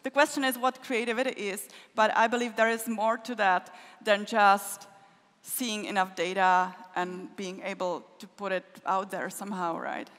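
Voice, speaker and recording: A woman's voice: medium pitch, steady tone, normal speed, a bit bright. A woman answering audience questions as a conference keynote speaker, in an informal, joking way. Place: large wooden concert hall with audience. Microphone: stage microphone. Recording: echoey concert hall.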